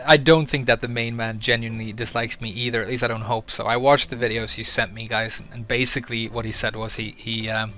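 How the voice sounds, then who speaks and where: A man's voice: mid-range voice, flat delivery, fairly quick, hardly any pauses, pushed and bright. A young man from Sweden talking candidly to camera as a video creator, earnest and a little hesitant with fillers. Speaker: YouTuber. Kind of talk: casual talk to camera.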